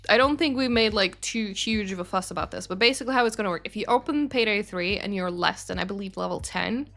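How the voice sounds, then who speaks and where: A young woman hosts a game livestream and answers viewers in a loose, rambling chat full of fillers and restarts. Her voice sits at an ordinary middle pitch. Faint music plays underneath. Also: fast talker, fairly bright tone. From Sweden. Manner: chatty, rambling, frequent fillers and self-corrections. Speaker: community manager hosting a game studio stream. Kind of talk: casual livestream chat reading viewer questions.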